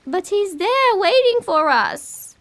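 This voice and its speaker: A woman's voice: extremely high pitch, sing-song, slow, fairly bright tone, exaggerated voice. A woman playing a timid, secretive seven-year-old English girl in an audio horror drama, childlike and earnest. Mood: insistent.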